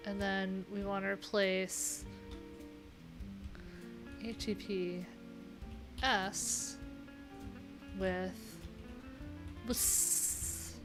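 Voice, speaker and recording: Woman, slow-paced, casual live-stream chat, playful, medium pitch, guitar music behind. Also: little pitch movement. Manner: playful, thinks aloud in fragments. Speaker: live-stream host and web developer. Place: indoor room, live stream.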